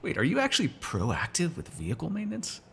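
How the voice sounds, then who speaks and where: A man's voice: a little high, huge pitch swings, fast talker, gravelly, fairly bright tone, a little hiss or hum, full character voice. A man playing a sardonic, teasing, foul-mouthed vampire in an ASMR roleplay, talking casually to one listener. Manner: casual, teasing, sarcastic, swears freely.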